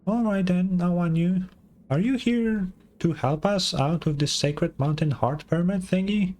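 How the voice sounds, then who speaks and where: A man's voice: sits high, measured pace, darkish tone, a little hiss or hum, pitched above the performer's own voice. A man voicing a small, chatty, curious game companion with a cheeky, casual manner. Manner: casual, chirpy. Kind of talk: reading game dialogue aloud.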